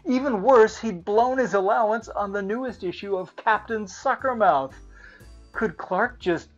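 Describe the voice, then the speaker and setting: A woman narrating a children's storybook aloud in an expressive style. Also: mid-range voice, a little slow. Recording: slightly muffled, music behind.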